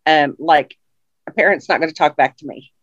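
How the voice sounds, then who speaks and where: Low-voiced commanding woman, lo-fi. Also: normal speed, no room sound. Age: middle-aged. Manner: commanding, advice-giving. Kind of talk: casual interview chat.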